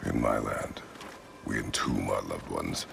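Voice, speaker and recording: A stoic warrior husband, with an extremely low, heavily gravelled voice. He speaks in terse, solemn phrases, grieving and guarded, in a dramatic video game scene with background noise. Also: unhurried, full character voice. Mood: grave, guarded. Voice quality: gravelly, rumbling.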